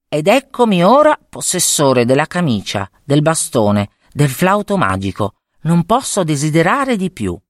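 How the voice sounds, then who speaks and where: A woman voicing a young male fairy-tale hero, earnest and bright. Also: sits low, wildly swooping pitch, measured pace, hardly any pauses, no echo, studio quality, exaggerated voice. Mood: content.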